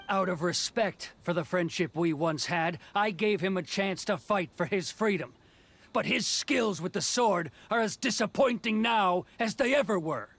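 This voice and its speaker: A man's voice: high voice, fairly bright tone, a little hiss or hum. An actor playing a proud, cold male officer of a commission, curt and dismissive, in video game dialogue.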